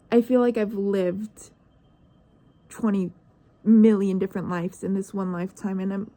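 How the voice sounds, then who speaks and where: Nineteen-year-old woman, vlogger; hesitant, reflective monologue, dark mellow tone. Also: mid-range voice, little pitch movement, unhurried, long pauses. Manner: searching, hesitant, trails off into questions.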